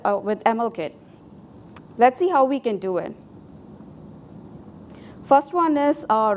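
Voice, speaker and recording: Woman whose first language is Tamil, conference presenter, fairly high voice, loose and hesitant delivery, faint background hum. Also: a little mellow. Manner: informal, hesitant, with fillers and restarts. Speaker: conference speaker. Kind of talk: technical conference talk with live demo. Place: conference hall.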